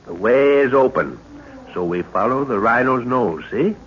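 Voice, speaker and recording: A man's voice: medium pitch, expressive, moderate pace, lightly acted. An actor playing an older, absent-minded professor and father in an old radio adventure serial, exclaiming and muttering to himself in an avuncular way. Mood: eager, explaining. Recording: muffled, noisy old-time radio recording.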